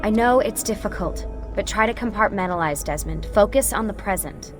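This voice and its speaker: A woman's voice: medium pitch, moderate pace, over music, close to an everyday voice. An actress in a video game playing a composed, serious young female team leader with an American accent. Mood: concerned. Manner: earnest, steady.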